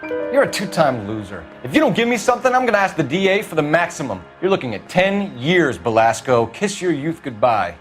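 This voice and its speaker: An actor playing a stern police detective with an American accent in a crime drama game, clipped and commanding. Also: male voice, on the high side, very animated, brisk, faint music behind. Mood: threatening, pressing. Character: hard-boiled male police detective, stern and pressing.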